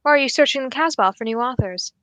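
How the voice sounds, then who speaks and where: A young woman playing a keen, courteous detective in a radio mystery, phrasing everything formally and deliberately. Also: high-pitched, lively intonation, fairly bright tone, dry recording. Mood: composed, probing. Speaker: actor in a student radio drama. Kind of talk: old-time radio mystery acting.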